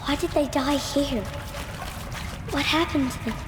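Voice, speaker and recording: High-pitched, curious little girl. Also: unhurried, background noise. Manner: short, childlike questions and replies. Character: small, curious, stubborn young outcast girl. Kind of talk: dramatic video game acting. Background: music.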